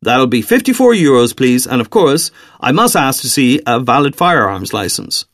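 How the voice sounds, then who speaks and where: A man's voice: medium pitch, very animated, moderate pace, fairly bright tone, dry recording, studio quality. A man voicing a chatty, teasing gun shop salesman in an audiobook, with a breezy, polite manner. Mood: businesslike.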